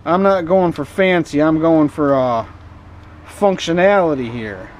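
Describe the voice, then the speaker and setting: A man's voice: fairly high pitch, a little slow, slight background noise. A man narrating a hands-on repair casually, muttering to himself in folksy, informal speech. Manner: talks to himself in muttered asides. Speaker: host of hobby repair and scrapping videos. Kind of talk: casual rambling workbench narration while working.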